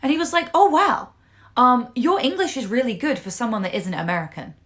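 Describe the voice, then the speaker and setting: A woman's voice: fairly high pitch, lively intonation, a little fast, a bit bright. Exasperated woman telling a story. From Wales. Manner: exasperated, incredulous retelling. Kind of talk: casual anecdote.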